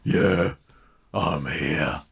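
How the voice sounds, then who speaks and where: Male reader as big bully tomcat youngster, gruff, curt, surly, storybook villain. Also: very deep voice, drawn-out words, a little hiss or hum, pitched below the performer's own voice, exaggerated voice. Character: large surly bully boy kitten.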